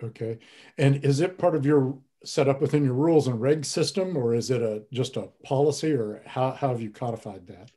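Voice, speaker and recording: An older man moderating a video-call panel discussion, asking measured, probing questions from a home study. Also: medium pitch, dark tone.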